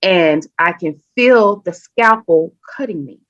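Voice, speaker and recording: A woman guest on a podcast, telling a personal story in an animated, emphatic way and often acting out what people said. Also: lowish voice, takes it slowly, dry recording. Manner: animated, emphatic, acts out quoted speech. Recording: dull lo-fi sound.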